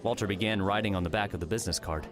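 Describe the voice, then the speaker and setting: Low-voiced man narrating an audiobook. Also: rapid-fire, crowd noise. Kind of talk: scripted story narration.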